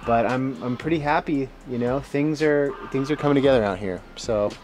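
A man's voice: mid-range voice, moderate pace, darkish tone, faint music behind. A man hosting a home renovation vlog, plainly explaining each step of a DIY job in a casual way. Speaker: host of a home renovation channel.

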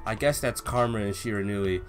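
A young man giving an excited, slangy, casual reaction commentary as he reads along, gushing as host. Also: fairly low pitch, normal speed, soft background music. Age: young adult. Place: home room with bookshelf, indoors.

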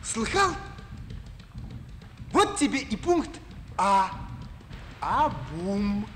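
An actor playing a sensible, wry schoolboy and loyal friend in a Soviet children's radio play, questioning and gently ironic. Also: medium pitch, huge pitch swings, very slow, slight background noise, heavily acted.

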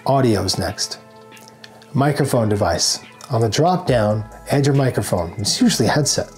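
A man's voice: mid-range voice, expressive, moderate pace, a little mellow. A man in his forties giving scripted, instructional narration to camera as a tutorial presenter. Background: background music.

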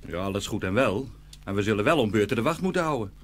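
A man's voice: fairly low pitch, lively intonation, quick pace, a little hiss or hum, lightly acted. A man playing a shrewd, plain-spoken runaway soldier in a dramatised fairy tale, with a blunt, folksy manner. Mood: wary.

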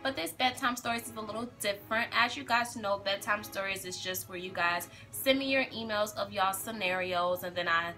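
A woman's voice: mid-range voice, a little fast, hardly any pauses, bright, forceful tone. A woman in her twenties chatting casually and bluntly as a vlog host giving advice. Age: 20s. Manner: blunt, emphatic. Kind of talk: casual chat. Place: small room at home, indoors. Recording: hip hop music behind the voice. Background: hip hop music.

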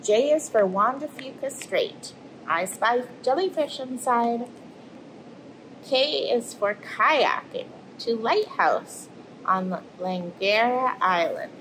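A woman, reading a picture book aloud to children in an animated storytelling manner. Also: fairly high pitch, slow pace, a little hiss or hum, rough recording. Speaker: storybook reader and host. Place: indoors in front of an aquarium tank.

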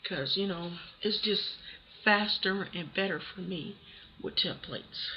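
A hoarse voice with a cold, casually talking through a software tutorial step by step. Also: mid-range voice. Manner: casual, talks through steps one by one. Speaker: tutorial host. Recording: dull lo-fi voice-over with faint hiss.

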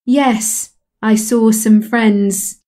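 A woman repeats English drill sentences at an unhurried pace. Her voice is mellow and warm, and she keeps a calm, measured delivery. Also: on the high side. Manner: calm, measured repetition of phrases. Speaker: English lesson voice. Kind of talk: slow scripted language drill.

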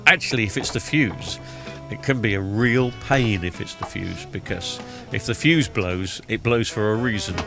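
A man's voice: on the low side, moderate pace, gravelly. A middle-aged man with a blocked-up nose from a cold, chatting loosely through a voiceover about a TV repair with lots of ums and uhs. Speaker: TV repair vlogger. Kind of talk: casual voiceover commentary. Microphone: studio microphone recorded in post-production. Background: background music.

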